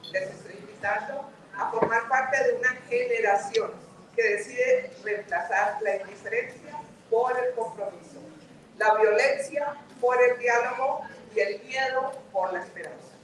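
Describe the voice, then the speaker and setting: A woman's voice: fairly high pitch, normal speed, a crowd in the background. A woman giving an earnest, rhetorical speech at a conference, inviting young people to join a cause. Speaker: conference speaker. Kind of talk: formal persuasive address. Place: large conference hall. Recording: very echoey hall, distant mic.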